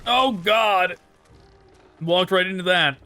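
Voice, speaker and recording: A man's voice: sits high, takes it slowly, sharp tone, faint music behind. A man giving casual, rambling gameplay commentary as a video game streamer. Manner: rambling, musing.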